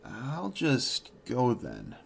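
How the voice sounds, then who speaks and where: A man's voice: medium pitch, huge pitch swings, drawn-out words, gravelly, husky, faint music behind, heavily acted. A man playing a mild, timid young male dragon in an audio drama, hesitant and apologetic. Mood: awkward, hesitant.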